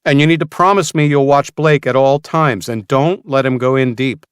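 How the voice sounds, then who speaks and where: A man voicing a caring, practical mother of a teenager in an audiobook, gently firm and instructing. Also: mid-range voice, normal speed, smooth, no room sound, studio quality, pitched above the performer's own voice, close to an everyday voice.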